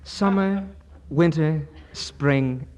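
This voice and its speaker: An actor playing a suave, formal English gentleman with a British accent in a 1940s radio comedy. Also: on the high side, drawn-out words. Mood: pompous. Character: suave, proper English actor courting a woman. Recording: faint noise, old 1940s radio broadcast.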